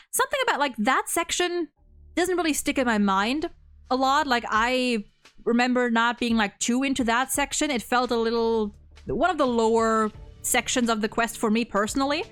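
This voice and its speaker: High-voiced young woman chatting, sweary fan. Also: a bit bright, clean tone. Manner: informal, sweary, gushing fan talk. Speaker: host of a video game ranking discussion. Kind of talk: casual chat.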